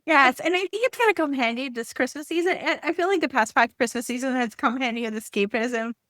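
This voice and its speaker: Enthusiastic woman chatting, higher-pitched voice. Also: quick pace, a bit bright, no echo, clean studio sound. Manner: enthusiastic, gushing. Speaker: podcast host interviewing an author. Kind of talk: casual, chatty book talk.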